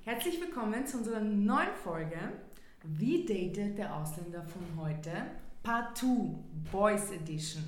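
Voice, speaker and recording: A woman's voice: mid-range voice, lively intonation, measured pace, roomy sound. A woman co-hosting a casual podcast, asking guests questions in a studio. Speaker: podcast co-host.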